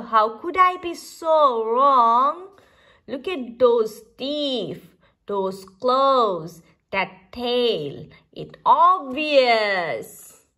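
A woman's voice: high voice, bright, forceful tone. A woman reading a picture book aloud expressively for young children, as a storyteller.

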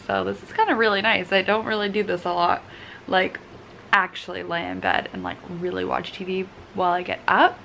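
A young woman vlogging casually from her bed with a croaky morning voice and a relaxed, chatty manner full of fillers, filmed on a camera held at arm's length. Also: mid-range voice, a little hiss or hum, poor audio. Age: young adult. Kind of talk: casual vlog chat. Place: bedroom, in bed. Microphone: handheld camera at arm's length.

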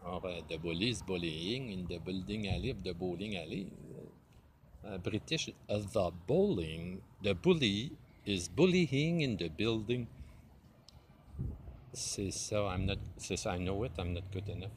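A man in his fifties with a Quebec French accent rambles on in a self-mocking way, his fairly low voice swinging widely up and down. He talks into a phone held at arm's length outdoors, with clear street noise and passing cars behind him. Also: animated, a little slow. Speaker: vlogger. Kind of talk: improvised comedy vlog, talking to an imagined partner. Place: outdoors by a stone wall under trees.

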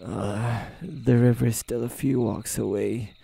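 A man performs a male nine-tailed fox spirit for an ASMR roleplay drama. His voice is very mellow and dark, with plenty of gravelly fry. He speaks slowly and haltingly, wary and proud yet easily flustered. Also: mid-range voice, fairly even intonation, full character voice. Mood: weary, pained. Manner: hesitant, halting, muttering to himself.

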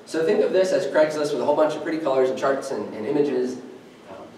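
Young male presenter, lively and chatty, off-mic in a reverberant meeting room, faint room hiss. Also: a little high, fast talker. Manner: energetic, conversational, engages the audience with questions. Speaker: startup presenter at a meetup. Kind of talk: conference presentation. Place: meeting room with a screen and podium. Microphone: far camera, no mic. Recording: echoey room from far off.

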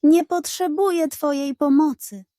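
Woman doing a shrill, defiant raccoon crook. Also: steady tone, unhurried, no echo, clean studio sound. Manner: shouting and hissing. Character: cunning male raccoon crook, defiant and jumpy.

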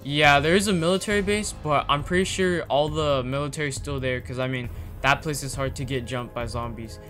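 A young man acting as an excitable zombie apocalypse survivor in an amateur game roleplay. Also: mid-range voice, fairly quick, faint music behind, natural voice. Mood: uneasy, casual. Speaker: lead player in a game roleplay video.

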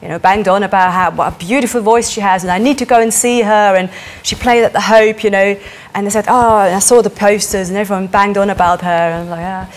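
A woman in her thirties from Switzerland, speaking with a Swiss German accent, answering interview questions as a parliamentary candidate in an animated way, recorded in a small studio. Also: mid-range voice, quick pace, few pauses. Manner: animated, gestures while talking, many fillers. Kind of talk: political interview.

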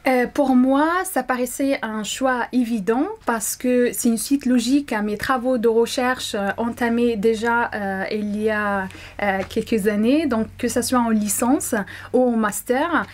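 A young woman with a slightly high voice, a native Arabic speaker, answers interview questions as a doctoral student. She talks with frequent fillers, restarts and small pauses while she searches for words. She is seated indoors, close to the mic. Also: fairly even intonation. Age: young adult. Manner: hesitant, many fillers and restarts. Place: indoor room.

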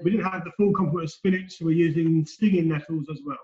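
A man's voice: on the high side, steady tone, fast talker, dark tone. Cheerful man on a video call. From Scotland. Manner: cheerful, enthusiastic. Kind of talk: casual chat. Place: home kitchen. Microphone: video call laptop mic. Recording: echoey, dull video call audio.

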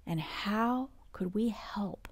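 A woman reading a bedtime picture book aloud in a gentle, expressive, unhurried storybook manner. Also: medium pitch, no echo.

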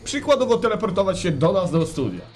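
Man acting a glib, high-voiced comic radio host. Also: sing-song, quick pace. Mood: mock-serious. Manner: mock-serious, wordy, theatrically polite. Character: glib, mock-solemn male radio phone-in host, secretly fed up. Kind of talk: parody of radio phone-in presenting.

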